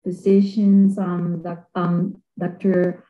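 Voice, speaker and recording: A woman's voice: lowish voice, flat delivery, takes it slowly, narrow, tinny audio. A middle-aged woman from Colombia, an activist, asking an earnest question with many fillers on a video call. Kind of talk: webinar audience question. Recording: roomy echo on video call.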